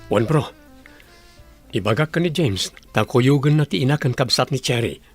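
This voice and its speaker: A man's voice: medium pitch, expressive, moderate pace, slightly rough, soft background music. A man playing a humble, earnest young working man in a radio drama. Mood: matter-of-fact.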